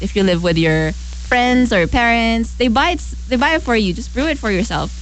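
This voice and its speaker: A young woman radio host with a medium-pitched voice. She talks casually and conversationally, addressing listeners directly as she gives advice. There is a light hum and hiss on the recording. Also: rough recording.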